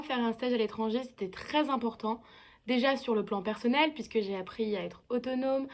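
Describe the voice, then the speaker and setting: A woman in her twenties from France, a student recording a thank-you video message, earnest and grateful, with occasional hesitant fillers. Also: on the high side. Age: 20s. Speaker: scholarship-winning student.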